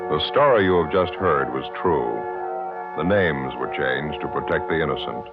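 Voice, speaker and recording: A man's voice: extremely low pitch, animated, normal speed. An American man announcing formally and dramatically in 1950s radio style. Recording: muffled, narrow-band vintage radio recording. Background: loud music.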